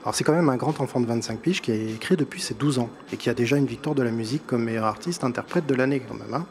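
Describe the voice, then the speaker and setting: A man's voice: medium pitch, fast talker, darkish tone. Chatty man with a slight accent. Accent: non-native accent in French. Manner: chatty, enthusiastic, laughs at himself. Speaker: music columnist. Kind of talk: casual live-show chronicle.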